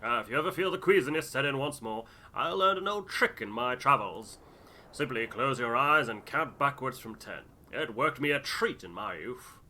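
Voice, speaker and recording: A man's voice: mid-range voice, animated, normal speed, pushed and bright, slight background noise, pitched above the performer's own voice. A man voicing a hearty, avuncular older traveller in a video game, emphatic and old-fashioned. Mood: hearty, solicitous. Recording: music behind the voice.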